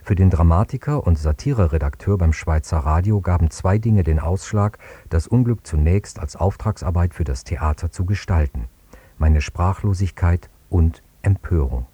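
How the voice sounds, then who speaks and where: A man presenting a radio play in a measured, sober, scripted introduction. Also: low voice, dark tone, slightly rough, no room sound.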